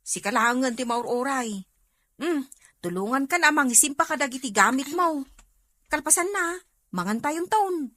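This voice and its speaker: A woman playing a cheerful, teasing young farm woman in an expressive audio drama performance. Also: high voice, moderate pace, long pauses, no echo. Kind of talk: amateur Filipino audio drama acting.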